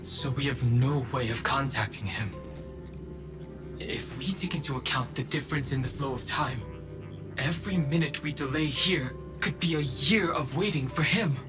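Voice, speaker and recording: An actor playing a serious, loyal young man in a video game, speaking in an earnest, measured way. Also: medium pitch, lightly acted. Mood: grave, worried. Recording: loud music under the voice.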